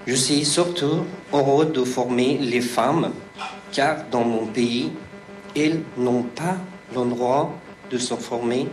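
A man, reading a personal testimony slowly and haltingly, with restarts. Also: medium pitch, low-quality recording. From Afghanistan. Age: 30s. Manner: slow, halting delivery with restarts. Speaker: refugee giving testimony.

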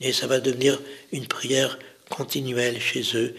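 A man narrating a religious story as a storyteller, deliberately, in short phrases separated by pauses. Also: medium pitch, level tone, vocal fry, a bit bright.

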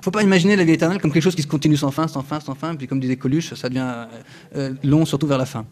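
A man's voice: a little high, racing. Earnest man in his thirties teaching faith. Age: 30s. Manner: earnest and lively, with many hesitant fillers, restarts and touches of humor. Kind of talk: spoken religious teaching to an audience.

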